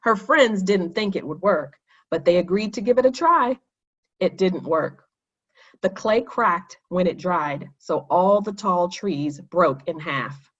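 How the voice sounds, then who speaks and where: A woman narrating a children's story in an expressive read-aloud manner. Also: lowish voice, slow, breathy edge, highs cut off. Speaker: storybook reader.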